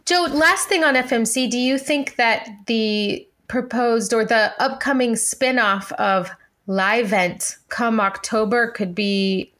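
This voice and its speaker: Female podcast co-host, slightly high voice, conversational delivery. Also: slow pace, fairly bright tone.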